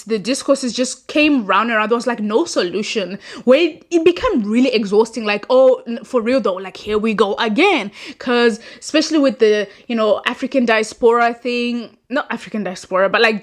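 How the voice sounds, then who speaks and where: A young woman, a non-native English speaker, hosting a chatty, rambling commentary and laughing at her own points. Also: on the high side. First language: not English. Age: young adult. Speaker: host. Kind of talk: casual commentary monologue.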